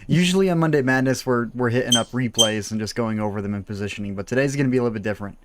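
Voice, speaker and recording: A man's voice: mid-range voice, racing, heavy background noise. A man in his early twenties hosting a casual gaming livestream, chatty, laughing often and full of fillers. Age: early 20s. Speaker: game streamer host.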